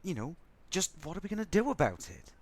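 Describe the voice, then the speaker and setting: A man's voice: sits high, huge pitch swings, fast, a crowd in the background, full character voice. A man playing a sassy, theatrical, frazzled robot crew member in an audio drama, with a sardonic, stubborn manner.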